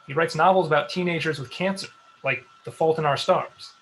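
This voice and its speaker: A man's voice: a little high, brisk, fairly bright tone, slight background noise, close to an everyday voice. A man playing an exasperated, sensible show staffer with a dry, sarcastic manner, in a comedy table read.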